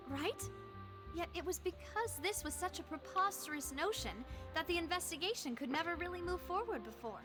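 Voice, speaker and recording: A woman's voice: extremely high pitch, animated, moderate pace. An actress voicing a poised, polite young woman leader in an anime video game, articulate and earnest. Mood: thoughtful. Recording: game music behind.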